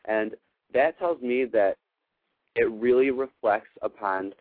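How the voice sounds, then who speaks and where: A man's voice: mid-range voice, takes it slowly, no echo. A man hosting a call-in advice show, talking in an earnest, affirming and emphatic way in a spiritual coaching style. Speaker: radio show host giving advice to callers. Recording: narrow, phone-like radio sound.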